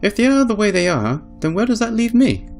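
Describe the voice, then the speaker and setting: A man's voice: high voice, very animated, brisk, creaky, soft background music, voice pushed up, full character voice. A man voicing a polite, pensive young island woman in a visual novel. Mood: earnest, pensive.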